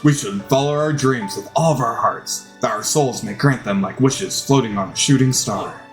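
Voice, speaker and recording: A man voicing an earnest, passionate male chef and romantic lead in a dating game, speaking softly and sincerely. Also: medium pitch, moderate pace, faint music behind, pitched below the performer's own voice, close to an everyday voice. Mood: dreamy, solemn. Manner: soft-spoken, sincere, dramatic.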